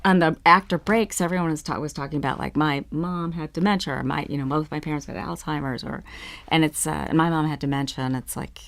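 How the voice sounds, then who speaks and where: A woman talking informally and tentatively in a panel discussion, often halting mid-thought. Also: low-pitched, fairly even intonation.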